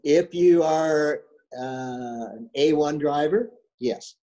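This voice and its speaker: An older man with a slow, mellow delivery gives short, plainspoken answers as a sailing coach. His voice comes through a dull, lo-fi video call line. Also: fairly high pitch. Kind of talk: answering questions in an online seminar.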